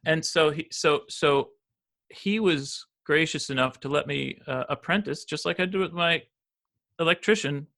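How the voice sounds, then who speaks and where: A man's voice: fairly high pitch, normal speed, gravelly, sharp tone. An American man in his fifties, a financial advisor talking as a podcast guest, rambling with many restarts and fillers. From United States. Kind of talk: casual interview conversation.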